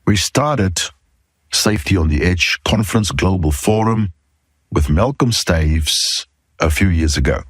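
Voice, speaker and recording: A middle-aged or older man with a South African accent, hosting an informal podcast talk in a candid, emphatic way, pausing between short phrases. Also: sits low, expressive, breathy edge, no room sound, studio quality. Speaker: podcast host, safety consultant.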